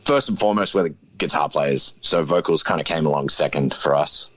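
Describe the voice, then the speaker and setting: A man in his thirties with a deep voice, a guest musician talking casually in an interview, laid-back and hedging with lots of fillers. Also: a little fast, no echo. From Australia. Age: 30s.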